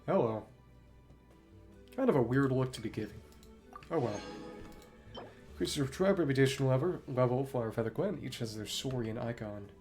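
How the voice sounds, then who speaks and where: A man's voice: medium pitch, animated, normal speed, long pauses, a little mellow, faint music behind. A man streaming a game, musing to himself in short asides and reading dialogue aloud in a casual way. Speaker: gaming streamer.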